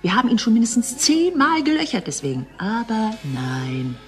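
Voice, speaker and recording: A woman's voice: on the high side, sing-song, moderate pace, faint music behind. A woman playing a teasing, sceptical TV company employee in a naturalistic German radio play, chatty and wry. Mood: wry, gossipy.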